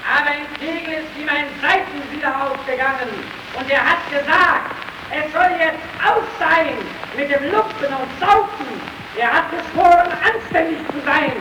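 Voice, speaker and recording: An actress playing a solemn storyteller who declaims the narration of an old radio play. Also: female voice, high voice, normal speed, close to an everyday voice. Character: solemn storyteller announcing a street hawker's fate. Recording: noisy, echoey old radio recording, distant.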